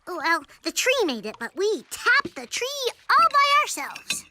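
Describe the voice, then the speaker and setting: An actor voicing an excitable, chirpy young child in a children's cartoon, with eager exclamations. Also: very high voice, a little slow, exaggerated voice. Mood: excited, eager. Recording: loud music behind the voice.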